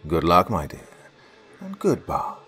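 A man's voice: sits low, huge pitch swings, a little slow, bright, forceful tone, heavily acted. A wicked carnival artist who mocks and scolds with theatrical relish, put on by a man. His voice rises and falls widely as he toys with his victim. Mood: mocking. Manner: mocking, stern, theatrical.

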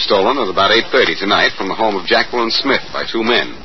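A man playing a cocky, quick-witted amateur sleuth in a 1940s radio crime drama, trading wisecracks. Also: lowish voice, lightly acted. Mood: brisk. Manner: wisecracking, fast banter. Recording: dull, noisy old radio recording.

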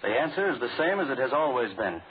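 An actor playing a calm, wryly amused, politely defiant man in a 1940s radio drama. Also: medium pitch, quick pace, natural voice. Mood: wry defiance. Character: calm, wryly amused man defying his would-be killer. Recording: tinny, noisy 1940s radio recording.

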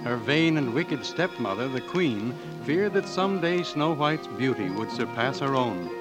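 An actor playing a storybook narrator, telling a fairy tale in a measured, dramatic 1940s radio style. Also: male voice, medium pitch, expressive, close to an everyday voice, low-quality recording. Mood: storytelling. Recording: old radio, music behind.

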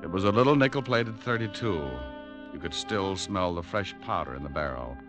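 A hardboiled private detective from a vintage radio show. His American voice sits low, and he delivers wry, laconic lines like a man who has seen it all. Music plays prominently behind him on the old recording. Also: lively intonation, moderate pace. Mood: weary, wry. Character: wry, tough, quick-witted male private detective. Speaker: lead actor and narrator. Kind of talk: 1950s radio detective acting, hardboiled narration.

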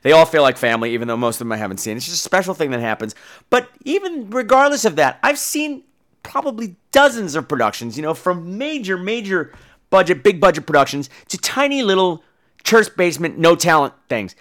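A man's voice: a little high, a little fast. A man hosting a podcast in a casual monologue, telling stories in a theatrical, catty and blunt way. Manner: theatrical, catty, animated storytelling; profane, blunt.

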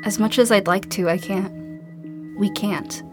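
An actress playing a hardened, grieving and self-blaming survivor in a post-apocalyptic audio drama, raw and emotional. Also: female voice, medium pitch, measured pace, music in the background, close to an everyday voice. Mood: weary, subdued. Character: grief-stricken, hardened female survivor, self-blaming and guarded.

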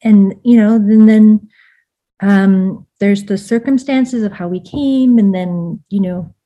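A woman's voice: medium pitch, takes it slowly, mellow, highs cut off. A woman from the United States, born in Vietnam, speaking as an interview guest in a casual, reflective and candid way, with plenty of "like" in her sentences. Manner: candid, informal, peppered with "like".

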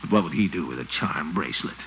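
An actor playing a pompous, excitable middle-aged small-town commissioner in 1940s radio comedy style, given to blustering and muttered asides. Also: male voice, extremely low pitch, fast talker. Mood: musing, sorrowful. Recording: dull, noisy 1940s radio recording.

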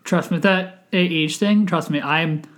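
A man's voice: a little high, fairly even intonation, unhurried, fairly bright tone. A man in his twenties hosting a podcast, talking in a rambling, earnest way with many restarts. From Wisconsin, United States. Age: 20s. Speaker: podcast host. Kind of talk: casual chat.

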